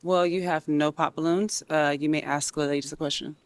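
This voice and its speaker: A woman hosting a dating show, briskly repeating set prompts to the contestants. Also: low-pitched, steady tone.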